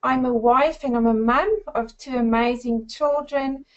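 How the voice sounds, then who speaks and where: A woman in her forties from South Africa with a South African accent, giving an effusive, upbeat sales pitch on a group call. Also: fairly even intonation, measured pace, dull audio. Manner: effusive, upbeat, addresses listeners as friends. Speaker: network marketing presenter.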